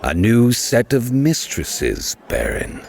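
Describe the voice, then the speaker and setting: An actor playing a haughty aristocratic lord in an audiobook, creaky-voiced, stiff and coolly condescending in his politeness. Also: mid-range voice, wildly swooping pitch, slow pace, darkish tone, heavily acted. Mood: stiff, coolly polite. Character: haughty, cool aristocratic lord, host of a ball. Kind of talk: full-cast audiobook acting.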